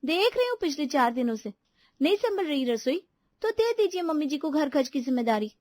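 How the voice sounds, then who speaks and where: A dutiful young sister-in-law of a household tale , acted by a woman. Her voice is very high, and she defends herself with indignant explanations. The sound is dry. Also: very animated, a little fast, a little mellow, no echo.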